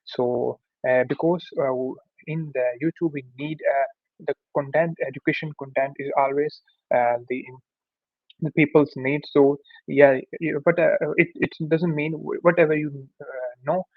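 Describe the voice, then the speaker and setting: A young man who is not a native English speaker talks through a course introduction with frequent pauses, fillers and restarts. His voice sits a little high and stays quite flat in pitch. The sound is narrow and tinny, close to a phone line, and very dry. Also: dry recording. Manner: hesitant, many fillers and restarts. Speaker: tutorial host. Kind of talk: unscripted course introduction with screen share.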